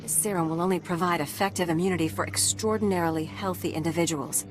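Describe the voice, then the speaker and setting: A woman acts as a pragmatic medical researcher in a video game. Her voice sits a little low, with a wry, explanatory delivery. Music is clearly heard underneath. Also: fairly even intonation, fast talker, lightly acted. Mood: businesslike, wry.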